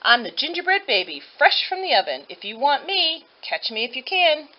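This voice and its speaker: A woman's voice: high voice, very animated, normal speed, a little hiss or hum, pitched above the performer's own voice. A woman voicing a boastful little gingerbread baby boy from a storybook in a taunting singsong. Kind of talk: storybook read-aloud character voice. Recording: dull lo-fi audio.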